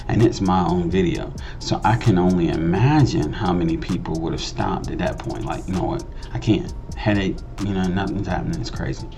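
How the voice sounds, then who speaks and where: A man's voice: low-pitched, creaky. A man giving a casual tutorial voice-over in a conversational, explanatory way, repeating phrases for emphasis. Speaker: host of a video editing tutorial channel.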